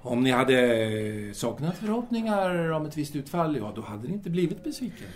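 A man's voice: fairly high pitch, big pitch swings. A man playing a detached, placating philosopher in an audio drama, hesitant and repeating himself as he reasons abstractly.

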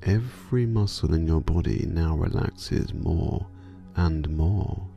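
A man guiding a sleep meditation in a soothing, hypnotic manner, drawing out his phrases and letting endings fall soft and breathy. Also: a bass voice, slow, muffled recording, faint music behind. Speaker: meditation guide.

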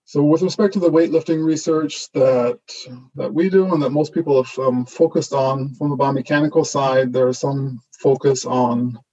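A man whose first language is German, with a mixed German-Canadian accent, giving a relaxed online lecture full of fillers and restarts. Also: medium pitch, normal speed, lo-fi sound. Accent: German-Canadian mix. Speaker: guest lecturer, associate professor.

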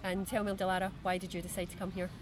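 A woman in her forties speaks in a measured, friendly way as a podcast co-host, her voice on the lower side. She is outdoors in a park, with passing traffic clearly heard behind her. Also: little pitch movement. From Scotland. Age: 40s. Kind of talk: casual chat. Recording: outdoor recording with traffic noise.

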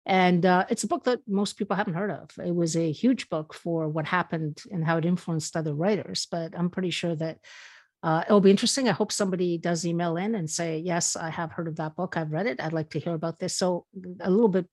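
Chatty low-voiced woman from Spain. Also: brisk, no room sound. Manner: animated, chatty storyteller with restarts. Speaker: podcast co-host. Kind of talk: casual storytelling chat.

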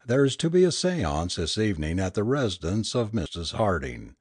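A man's voice: fairly low pitch, sing-song, fairly quick, a little mellow, slightly rough, no echo, clean studio sound. A man voicing a cruel, cynical fake spirit medium in an audiobook, with a lofty, sinister, commanding manner. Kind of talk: dramatic audiobook character reading.

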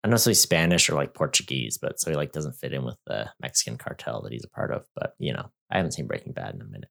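A man with a very deep, low voice co-hosts a podcast in easy casual chat. He rambles through fun facts with plenty of fillers and restarts, slipping in dry jokes as he goes. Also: expressive, fast talker, vocal fry, fairly bright tone. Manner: wry, joking. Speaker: podcast co-host.

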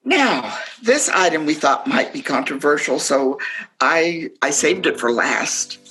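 Woman playing a bright, measured sibling auctioneer. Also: sits low, animated, slightly rough, faint music behind, heavily acted, rough recording. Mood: matter-of-fact. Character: brisk sibling playing auctioneer at family estate sale. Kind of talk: radio play acting.

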